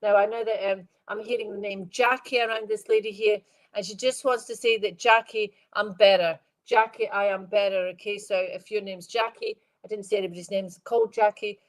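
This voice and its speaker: A middle-aged woman medium giving a live-stream spirit reading. She sounds stuffed up and speaks in a gentle, rambling way, repeating phrases and addressing viewers by name. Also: mid-range voice, steady tone, fairly quick, low-quality recording. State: congested, stuffed-up nose.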